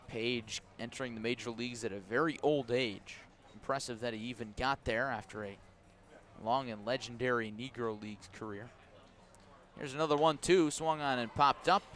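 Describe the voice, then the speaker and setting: A man with an American accent doing conversational live radio baseball play-by-play, mixing clipped pitch calls with storytelling and wry asides. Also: a bit bright. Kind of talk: live radio sports commentary mixed with storytelling.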